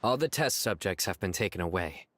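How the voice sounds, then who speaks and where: A man voicing a stern male investigator in a game, brisk and businesslike. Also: deep voice, animated, fairly bright tone.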